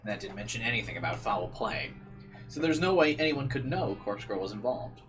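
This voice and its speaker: A man's voice: mid-range voice, a bit bright. Man narrating over guitar music. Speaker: streamer reading a visual novel aloud. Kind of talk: dramatic narration with casual streamer asides. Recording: music playing behind the voice. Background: guitar game music.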